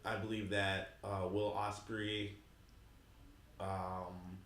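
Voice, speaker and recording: Low-voiced laid-back man, echoey room. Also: little pitch movement, extremely slow, a bit bright, slight background noise. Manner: teasing, dry, laid-back. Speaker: podcast co-host. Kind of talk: casual podcast chat.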